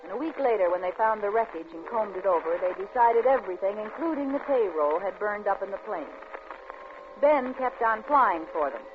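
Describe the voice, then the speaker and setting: A woman's voice: mid-range voice, lively intonation, brisk, natural voice. A woman playing a cool, scheming payroll thief in a 1940s radio drama, often narrating her crime. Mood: cool, recounting. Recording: old muffled radio drama, music behind.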